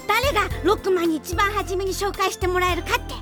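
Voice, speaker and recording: A woman's voice: very high voice, steady tone, quick pace. A woman playing an eager, squabbling little boy in an anime audio drama. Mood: excited, competitive. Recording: children's music behind the voice.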